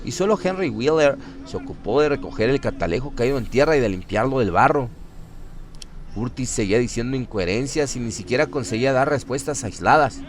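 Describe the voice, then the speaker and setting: A man's voice: mid-range voice, animated, fairly quick. A man narrating a scripted horror story for radio in a dramatic style. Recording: clear ambient noise behind voice. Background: ambient sound effects bed.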